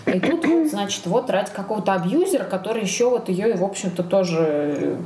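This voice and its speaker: A woman's voice: medium pitch, expressive, quick pace. Woman podcaster in an echoey room. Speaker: podcast co-host. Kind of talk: casual conversational discussion. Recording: echoey room with faint noise.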